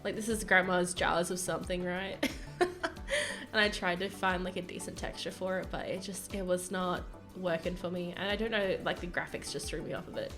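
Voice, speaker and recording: A young woman chatting casually over a game build video, rambling and giggly with lots of fillers, her voice croaky from early morning. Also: lowish voice, steady tone, a little fast, music in the background. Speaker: gaming YouTuber narrating a build.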